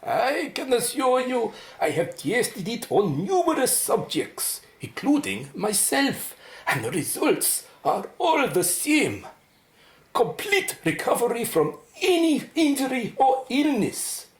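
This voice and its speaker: A proud, excitable medical scientist in a radio play, presenting her experimental cure. She speaks slowly and formally, with sweeping, animated intonation, in a roomy, echoing space. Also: on the high side. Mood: proud, assured. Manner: formal, showy, precise. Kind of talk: radio drama acting.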